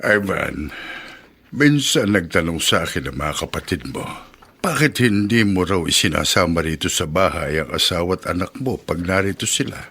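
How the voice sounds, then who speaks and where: Elderly Filipino father, gravelly, forceful edge, caring but insistent, radio drama. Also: low voice, animated, moderate pace, heavily acted. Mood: concerned, probing. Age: older.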